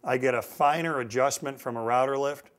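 A man's voice: medium pitch, steady tone, a little fast. A middle-aged man with an American accent giving a step-by-step instructional demonstration, stressing key points with repetition. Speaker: woodworking instructor. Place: indoor woodworking shop. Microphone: lapel mic.

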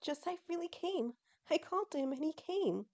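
An excitable young fox girl from an audio story, acted out by a woman narrator. Her voice is high and mellow. She speaks with eager, earnest emphasis. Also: brisk. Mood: delighted.

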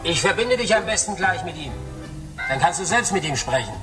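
Friendly coastguard on the radio, high voice, distant, music behind. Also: male voice, sing-song, fairly quick. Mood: brisk, friendly. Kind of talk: German radio crime drama acting.

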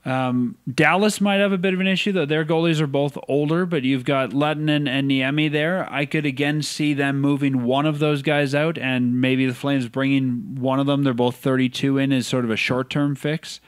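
A man's voice: medium pitch, fairly even intonation, normal speed, few pauses, clean tone, no echo, clean studio sound. A Canadian man co-hosting a podcast in casual sports chat, asking questions and thinking aloud. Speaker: podcast co-host.

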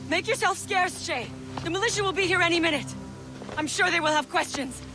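A woman's voice: very high voice, a little fast. An actress playing a composed, commanding young woman assassin in a video game cutscene. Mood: urgent. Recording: music behind the voice.

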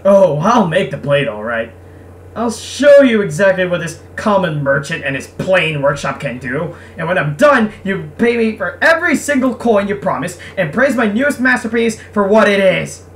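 A woman's voice: mid-range voice, lively intonation, normal speed, natural voice. A woman voicing a proud, touchy merchant craftsman in a visual novel, with formal, emphatic delivery. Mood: defiant. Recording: noisy, distant microphone.

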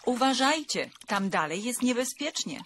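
A woman playing a brisk, proper female character in a cartoon sketch. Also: on the high side, very animated, a bit bright, a little hiss or hum. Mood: concerned.

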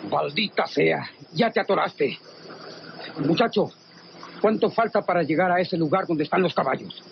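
An actor playing an impatient, abusive bandit boss in a radio drama, with a Mexican accent. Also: male voice, a little high, moderate pace, gravelly, dull audio. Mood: impatient, threatening. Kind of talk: radionovela acting. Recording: noisy old radio drama recording.

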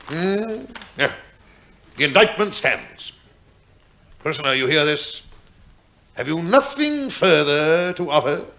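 A man's voice: fairly high pitch, sing-song, slow pace, long pauses, exaggerated voice. A male actor playing a stern, scornful Lord Chief Justice of old England in a period radio drama, with a British accent and imperious, archaic diction. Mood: brusque. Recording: dull vintage radio recording.

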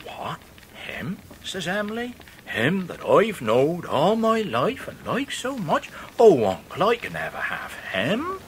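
An actor playing a jovial, warm-hearted old fisherman uncle with an English rustic dialect in a radio drama. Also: high-pitched, huge pitch swings, takes it slowly, slight background noise, full character voice. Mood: tender, proud. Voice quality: effusive, hearty. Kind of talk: full-cast radio drama acting.

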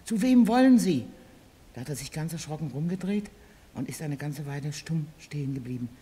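An actress playing an obliging, gossipy, slightly hesitant apartment concierge in a radio crime drama, with slight background noise. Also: female voice, low voice, animated, a little fast, warm tone. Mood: recounting. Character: chatty, hospitable apartment building concierge.

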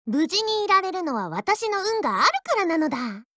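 Haughty little anime ship girl, mobile game. Piping high voice, lively inflection, bratty bragging, dry studio sound. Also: a little fast, no room sound, exaggerated voice. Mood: smug, boastful. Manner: boastful, tsundere, ends sentences with a verbal tic. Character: haughty, childish warship girl with animal ears. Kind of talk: Japanese anime game character voice lines.

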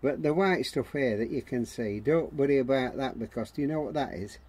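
A man's voice: mid-range voice, brisk, a little mellow, breathy edge. A man, chatting plainly and bluntly in short phrases as he explains gardening on an allotment. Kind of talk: casual explanatory chat. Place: open-sided greenhouse on an allotment. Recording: noisy handheld outdoor camera audio.

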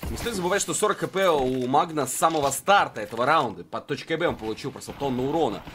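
A man commentating live on a video game match, conversational and swinging into excited play-by-play. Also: mid-range voice, fast talker, a little hiss or hum. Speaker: esports commentator. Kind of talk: live game commentary in a two-caster duo.

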